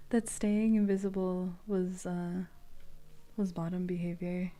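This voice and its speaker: A woman's voice: lowish voice, flat delivery, takes it slowly, dark tone. A woman streamer in casual, giggly, playfully profane chat as she hosts a tarot reading.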